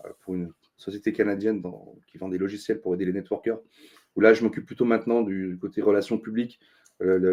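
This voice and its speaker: A middle-aged man, a consultant being interviewed, talking earnestly with many pauses and restarts. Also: lowish voice, dark tone, muffled recording. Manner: thoughtful, many fillers and restarts, earnest advice-giving. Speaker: interview guest, business consultant. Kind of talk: casual interview talk.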